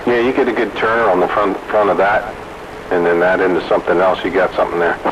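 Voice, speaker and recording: A man's voice: low-pitched, fairly even intonation, fast talker. A man chatting casually over two-way radio as a CB operator, with a rambling, jokey manner. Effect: two-way radio transmission. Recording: noisy crackly CB radio audio.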